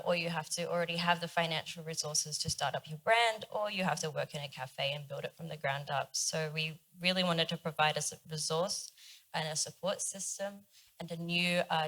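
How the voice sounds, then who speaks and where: A woman's voice: low-pitched, fairly even intonation, moderate pace, a bit bright. A woman in her twenties, a young designer on a panel, explaining her project steadily with occasional fillers. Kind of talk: panel discussion talk.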